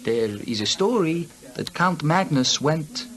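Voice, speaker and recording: An actor playing a courteous but guarded village innkeeper, formal and deliberate, in a radio drama. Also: male voice, fairly high pitch, lively intonation, fairly bright tone, heavily acted. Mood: guarded, ominous.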